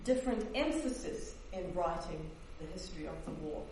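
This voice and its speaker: A woman's voice: on the low side, animated, normal speed, darkish tone. Hesitant woman historian in echoey hall. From Netherlands, raised in New Zealand. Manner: hesitant, many fillers and restarts. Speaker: historian presenting her book. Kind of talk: academic book talk. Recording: echoey hall, distant mic, faint hiss.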